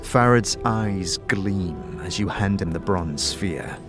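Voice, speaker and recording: Low-voiced man narrating fantasy. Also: music in the background. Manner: measured, dramatic storytelling. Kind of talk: scripted second-person fantasy narration.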